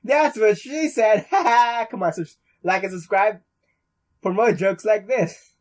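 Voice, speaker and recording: A man's voice: high-pitched, a little slow. A young man hosting a let's play, reading story text aloud with casual asides and often stumbling over words.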